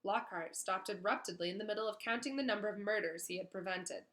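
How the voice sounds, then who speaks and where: A woman narrates a children's novel in an expressive storytelling style. Her voice sits at a middle pitch with a very bright, forceful edge. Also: a little fast. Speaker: read-aloud storyteller.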